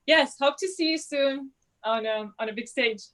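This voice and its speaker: A young adult woman, a ballerina guest on an online interview, chatting with many fillers and pauses. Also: high-pitched, fairly bright tone. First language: Georgian. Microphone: video call.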